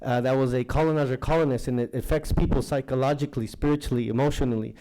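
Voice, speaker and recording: A man whose first language is Nahuatl, giving a rambling, halting public comment at a council meeting with many fillers. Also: medium pitch, steady tone, warm tone, low-quality recording. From Anaheim, California.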